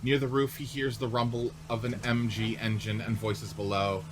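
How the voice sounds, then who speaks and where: A man's voice: medium pitch, level tone, moderate pace. A man narrating stage directions in a scripted radio play reading.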